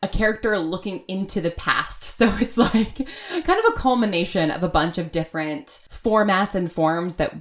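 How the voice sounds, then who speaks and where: A woman talking casually and thoughtfully as an interview guest, in a halting way with plenty of filler phrases. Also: mid-range voice, sing-song. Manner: halting, thoughtful, frequent "like" and "sort of". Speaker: audio drama writer, podcast guest.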